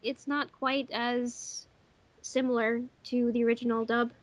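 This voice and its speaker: A woman's voice: on the high side, flat delivery, a little slow. A woman chatting cheerfully on a fan commentary track, often giggling. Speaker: fandub sound editor. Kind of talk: casual commentary chat.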